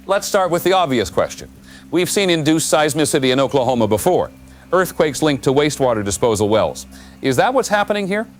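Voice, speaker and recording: An actor playing a studio news anchor who introduces the guest and asks composed, probing questions. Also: male voice, fairly high pitch, big pitch swings, fairly quick, soft background music. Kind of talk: audio drama news broadcast.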